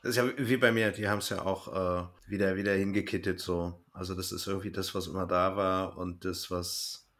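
A man's voice: sits low, little pitch movement, fairly quick, fairly bright tone. A man hosting a casual podcast interview and chatting with his guest.

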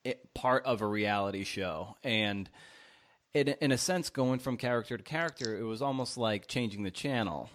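A man hosting a podcast, posing questions conversationally in a halting, roundabout way. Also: mid-range voice, a bit bright. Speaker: podcast host interviewer. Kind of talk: conversational interviewing.

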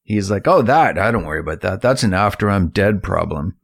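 Male podcast host, lowish pitch, informal delivery, builds his sentences piece by piece. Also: lively intonation, brisk, gravelly, dry recording, clean studio sound. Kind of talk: casual chat, steering the conversation.

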